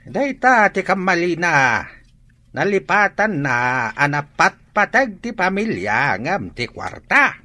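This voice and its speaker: A man's voice: high voice, big pitch swings, takes it slowly, bright, forceful tone, no room sound, full character voice. A man playing a frank, chiding male relative in an Ilocano radio drama, with an Ilocano Filipino accent. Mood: disapproving.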